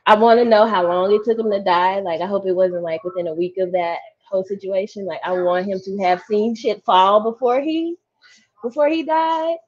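A woman chatting casually in a book discussion on a video call, expressive and slangy. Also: mid-range voice, moderate pace. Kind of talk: casual chat. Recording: dull, lo-fi video call audio.

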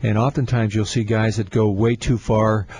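A man co-hosting a talk show, explaining things in a measured way with frequent fillers. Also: lowish voice, little pitch movement, darkish tone, husky. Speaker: podcast co-host. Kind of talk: casual instructional chat.

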